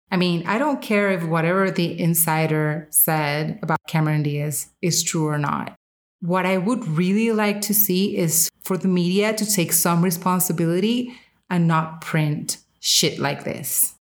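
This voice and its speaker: Female podcast host with a fairly low voice, delivering frank, emphatic opinion commentary in casual speech. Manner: emphatic, candid, with casual swearing.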